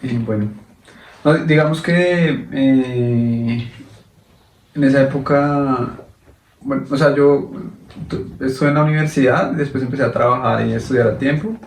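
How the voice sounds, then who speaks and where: A man's voice: medium pitch, a little slow, echoey room, far from the mic, a little hiss or hum, low-quality recording. A young man co-hosting a podcast, speaking hesitantly with many fillers. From Colombia. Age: young adult. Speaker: podcast co-host. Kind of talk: casual conversation.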